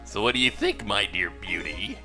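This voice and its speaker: A man's voice: lowish voice, sing-song, normal speed, gravelly, bright, forceful tone, soft background music, heavily acted. A man voicing a suave, flirtatious villainous henchman from a video game. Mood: smooth, sceptical. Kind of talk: video game character dub in a let's play.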